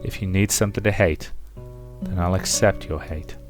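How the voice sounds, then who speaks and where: A man voicing a resolute, gentle, grieving man in a soft, measured way for a visual novel reading, with music behind. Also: low-pitched, steady tone, natural voice. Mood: tender, sorrowful.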